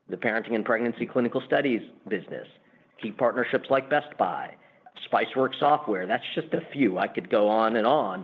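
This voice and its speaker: A man speaking as a company executive, explaining the business emphatically and semi-formally in answers on an earnings call. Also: mid-range voice. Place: conference call. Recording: muffled, band-limited conference call line.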